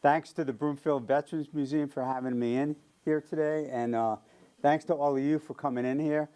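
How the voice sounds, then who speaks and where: A man's voice: on the high side, fairly even intonation, brisk, dark tone, clear, resonant tone. Older man in his seventies, New York accent, chatty guest speaker, friendly, lots of ums. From New York area. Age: 70s. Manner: friendly, chatty, frequent ums and light laughs. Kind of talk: casual public talk.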